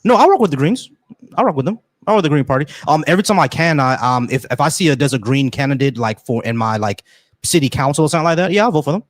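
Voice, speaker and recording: Man, 30s, host, rapid speech, dry mic, slangy, stumbling restarts. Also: a little high, animated, dry recording. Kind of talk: casual political call-in talk.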